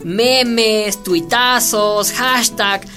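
An actress playing an eager campaign activist in a radio drama. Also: female voice, a little high, very slow, sharp tone, soft background music, full character voice.

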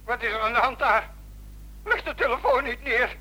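A man's voice: extremely high pitch, normal speed, pushed and bright, heavily acted, low-quality recording. An actor playing a distraught, suicidal young man in a radio drama, anxious and pleading through a telephone filter. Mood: panicked pleading.

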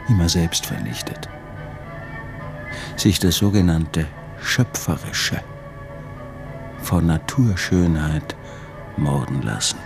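An actor playing a bitter, obsessive man brooding haltingly over his failed life's work in a radio drama monologue, often dropping toward a whisper. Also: low-pitched, gravelly, full character voice. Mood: scornful.

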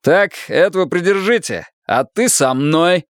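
A man voicing a crazed young male plainclothes drug cop who bullies and shouts threats. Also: a little high, expressive, unhurried, no room sound, studio quality, pitched above the performer's own voice. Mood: shouting, aggressive.

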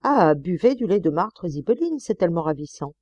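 A woman reading aloud and voicing an affected, gushing society gentleman, on a slightly muffled recording. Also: on the low side, big pitch swings, brisk, no room sound.

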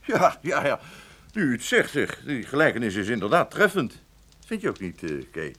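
A man's voice: medium pitch, wildly swooping pitch, normal speed, a bit bright. Een man die in een hoorspel een gemaakt joviale, babbelzieke zakenpartner uit de misdaad speelt, aarzelend en herhalend. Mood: jovial. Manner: chuckling, hesitant, repetitive. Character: chatty, falsely jovial male business associate in crime. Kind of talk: radio drama acting.

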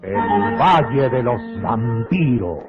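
A man playing a grandiose announcer of an old adventure radio serial, with theatrical, emphatic delivery and drawn-out titles. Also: mid-range voice, expressive. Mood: grand, dramatic. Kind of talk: vintage Latin American radio drama announcing. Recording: muffled old radio recording, loud music.

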